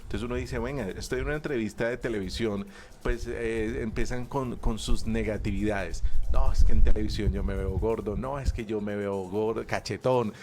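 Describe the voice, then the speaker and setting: A man's voice: lowish voice, fairly even intonation, moderate pace. A man, a public speaking instructor talking as a guest in a radio booth, emphatic and stacking repeated parallel phrases. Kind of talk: radio interview guest explaining.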